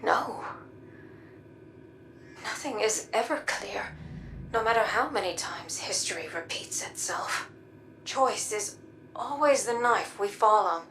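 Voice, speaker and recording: An actress playing a weary woman who has traveled time, speaking in a soft, reflective way. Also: medium pitch, lively intonation, slow, pauses often, a little hiss or hum. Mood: somber. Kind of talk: video game dialogue.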